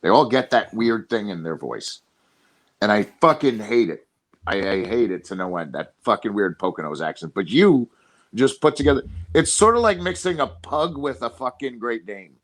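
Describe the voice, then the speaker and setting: A man's voice: mid-range voice, lively intonation, normal speed. A man hosts a casual podcast and rambles through long, loose tangents, swearing freely as he goes. His voice sits in the middle of the male range.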